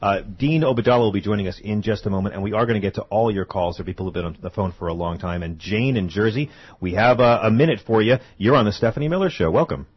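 Husky-voiced man hosting a comedic radio show. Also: on the low side. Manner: joking, quick banter. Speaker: guest radio host. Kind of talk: casual comedic radio chat. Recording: lo-fi radio broadcast.

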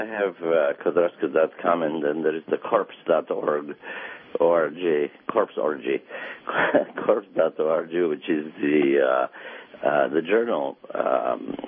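A man with a Romanian accent, an author giving a wry, rambling radio interview over a phone line. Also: rumbling low. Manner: wry, rambling, halting with many fillers. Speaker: author guest.

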